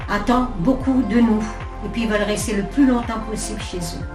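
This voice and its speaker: A woman's voice: mid-range voice, steady tone, a little fast. A woman giving a conversational interview testimonial as a home care worker. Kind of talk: interview testimonial about their job. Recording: echoey room, distant mic, music bed. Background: music.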